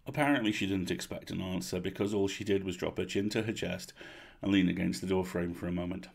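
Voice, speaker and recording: Guy reading a story out loud, deepish voice, lots of gravel, audiobook style. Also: steady tone, fast. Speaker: narrator reading a serialised novel aloud. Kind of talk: expressive audiobook-style narration.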